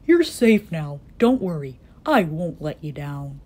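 A woman voicing a big, gentle male elephant in a storybook, earnest, protective and pleading. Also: deep voice, sing-song, a little slow, exaggerated voice. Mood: kind, surprised.

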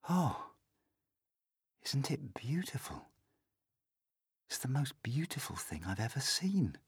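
A man voicing a gentle, timid small boy in a storybook, murmuring softly and spellbound. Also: mid-range voice, big pitch swings, slow, breathy edge, no echo, clean studio sound, pitched above the performer's own voice, full character voice. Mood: awed. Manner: hushed murmuring. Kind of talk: audiobook character voice.

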